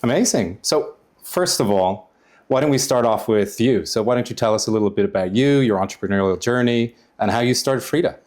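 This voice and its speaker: A man's voice: medium pitch, lively intonation, a little fast, darkish tone. A man hosting a podcast, presenting and asking questions in a polite, encouraging manner. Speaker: podcast host, interviewer.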